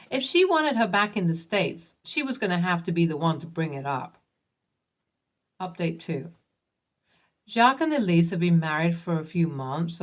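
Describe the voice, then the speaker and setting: Steady woman reading stories aloud. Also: fairly low pitch. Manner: even, steady reading that spells out acronyms letter by letter. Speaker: narrator. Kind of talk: scripted narration of online stories.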